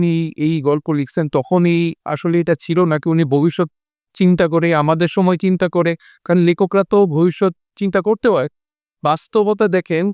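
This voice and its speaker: A man's voice: high-pitched, a little mellow, smooth, dry recording. A man with a Bangladeshi accent giving his views as a panelist in a colloquial, conversational way, circling back to rephrase. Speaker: discussion panelist. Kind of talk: conversational discussion.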